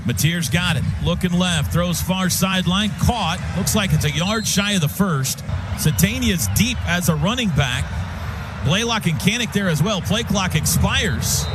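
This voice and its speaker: A man calling live football on the radio as play-by-play announcer, in clipped short phrases with excitable shouts on big plays. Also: high voice, unhurried. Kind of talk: live sports play-by-play commentary. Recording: loud music bed under radio broadcast.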